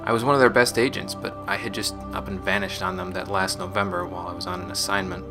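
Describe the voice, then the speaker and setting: A very low-pitched, solemn space marine played by a man records a confessional sci-fi audio log. The delivery is measured and resolute, weighed down by guilt, with clear music playing behind it. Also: fairly even intonation, lightly acted. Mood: grave, regretful. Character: resolute, guilt-burdened space marine recounting a gang attack.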